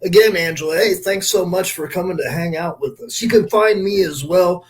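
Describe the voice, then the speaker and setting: A man co-hosting a podcast, chatting casually and giving friendly, appreciative shout-outs. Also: high voice, moderate pace. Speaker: podcast co-host.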